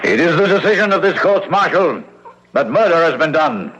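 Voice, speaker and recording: An actor playing a stern, declamatory court-martial judge in a 1970s radio drama. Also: male voice, fairly high pitch, very animated, normal speed. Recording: noisy, dull lo-fi radio recording.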